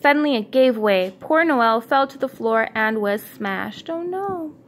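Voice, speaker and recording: A woman's voice: on the high side, animated, a little slow. A woman reading a children's story aloud as a parent would to a child, in a homemade recording. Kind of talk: read-aloud children's storytelling with casual asides. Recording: clear background noise, homemade recording.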